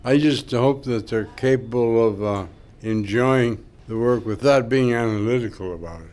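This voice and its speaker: An older man whose first language is Greek, a sculptor musing slowly and thoughtfully about his work. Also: medium pitch, a little mellow. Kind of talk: interview reflection.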